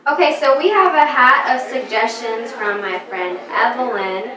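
A young woman with a high, bright voice chats casually as host of a video with friends. She sits on a couch and is recorded by a camera set back across the room. The room gives her voice a noticeable echo. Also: normal speed, background noise. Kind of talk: casual chat. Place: living room couch indoors. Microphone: far camera. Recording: echoey room, camera at a distance.

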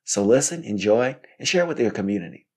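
A man in his thirties giving scripted, instructional solo podcast narration in a measured way, as if reading from notes. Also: on the low side, expressive, darkish tone, slightly rough. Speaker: podcast host.

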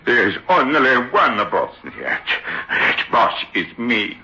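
A frantic ventriloquist, blustering with fear in vintage radio horror style. His slow, lowish voice swings widely and cuts sharply through a dull recording. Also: big pitch swings, pushed and bright, exaggerated voice. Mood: desperate, angry. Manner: overwrought, blustering. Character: frantic male ventriloquist, frightened and domineering. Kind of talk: old-time radio horror acting.

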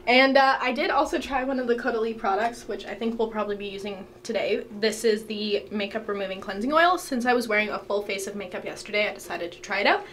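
A woman's voice: medium pitch, fast talker, few pauses, fairly bright tone, a little hiss or hum. A woman in her twenties chatting casually to the camera at home, bubbly and gushing, with lots of filler words. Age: 20s. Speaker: beauty vlogger. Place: home, bathroom and living room.

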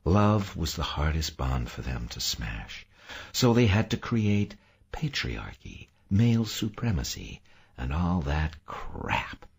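A man voicing a gentle, grave woman in an audiobook, speaking softly and soothingly. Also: a bass voice, sing-song, slow pace, vocal fry, husky, pitched below the performer's own voice, exaggerated voice. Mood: gentle, earnest. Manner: soft, cooing, hushed. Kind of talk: audiobook character acting.

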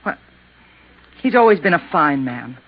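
A woman's voice: deep voice, sing-song, measured pace, breathy edge, exaggerated voice. A woman playing a guarded, loyal secretary in a 1950s radio drama, speaking defensively and warily. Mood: wary, upset. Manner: defensive, wary. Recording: dull 1950s radio recording.

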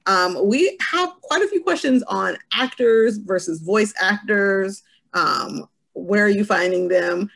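A woman's voice: lowish voice, vocal fry, slightly rough. A middle-aged woman moderating an online panel in a conversational, emphatic way with joking asides. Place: small room at home, video call.

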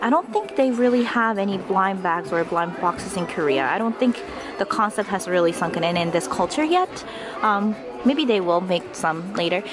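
A young woman with a hoarse voice, vlogging with a handheld camera as she browses toy aisles inside a store and gushes over what she finds. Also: medium pitch, normal speed. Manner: excitable, gasping, gushing over finds. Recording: music playing underneath.

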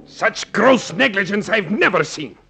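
An actor playing an authoritative, considerate hospital doctor with a husky voice in a 1950s radio drama. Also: male voice, high-pitched, expressive, unhurried, over loud music, heavily acted. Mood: stern, indignant.

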